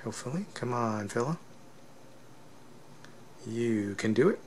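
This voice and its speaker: A man's voice: mid-range voice, animated, unhurried, darkish tone, slight background noise. A man giving a casual, unscripted technical demonstration as a product reviewer, explaining step by step and often checking in with a questioning tag.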